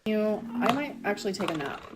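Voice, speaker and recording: A woman chats to her camera in a loose, gushing vlog manner, leaning on fillers like "like" and "um." Her pitch sits in an ordinary middle range. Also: lively intonation, fairly quick, noisy background. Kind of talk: casual vlog talk to camera.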